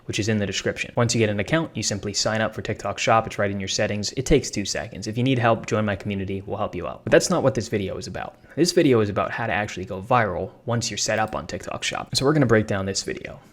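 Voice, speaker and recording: A man's voice: lowish voice, fast, hardly any pauses. A young man giving a casual, hyped, slang-heavy tutorial on making money online, talking off the cuff to his viewers. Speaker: online business creator.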